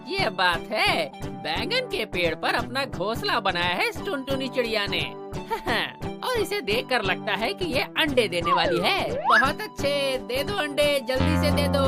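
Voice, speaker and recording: A greedy, scheming cat from a children's cartoon acted out by a woman. The voice is high and leaps around as it grumbles and gloats. Loud music runs underneath. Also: full character voice. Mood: curious. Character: greedy, grumbling, scheming male tomcat hungry for chicks.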